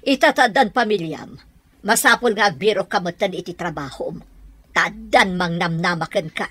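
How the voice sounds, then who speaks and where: A woman with an Ilocano accent playing a bossy, nosy middle-aged mother in a radio drama, with a husky voice. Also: on the low side, normal speed, a bit bright, no echo. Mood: firm, advising.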